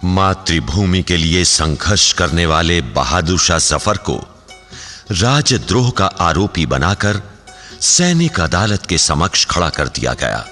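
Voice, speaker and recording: A man's voice: low-pitched, sing-song, slow, bright, forceful tone, long reverb tail, soft background music, heavily acted. A man playing a grave, poetic narrator in a historical radio drama, with a solemn, theatrical delivery. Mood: grave, stirring.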